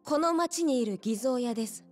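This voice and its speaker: Young club hostess, high-pitched, polite, earnest, pleading gentleness, game drama, faint music underneath. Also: female voice, animated, normal speed. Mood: pleading, serious. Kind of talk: Japanese video game cutscene acting.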